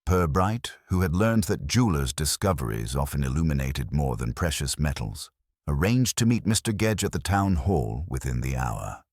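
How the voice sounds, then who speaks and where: A man narrating a scripted mystery story in a formal, measured way, like an audiobook. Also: extremely low pitch, big pitch swings, darkish tone, no room sound, studio quality.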